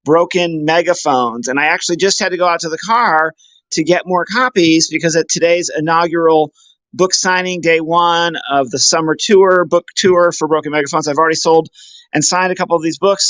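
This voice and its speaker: Enthusiastic man, higher-pitched voice, dull audio. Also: steady tone, normal speed, a bit bright, dry recording. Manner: enthusiastic, effusive. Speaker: poet promoting his book. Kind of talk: casual walking video tour. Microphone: handheld camera. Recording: dull lo-fi handheld camera audio.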